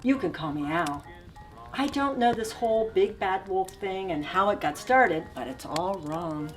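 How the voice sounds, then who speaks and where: A woman's voice: mid-range voice, expressive, unhurried, faint music behind, close to an everyday voice. A woman reading a storybook as a wily male wolf who chattily and theatrically defends himself. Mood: defensive. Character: wily, self-justifying male wolf telling his side. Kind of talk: storybook read-aloud in first person.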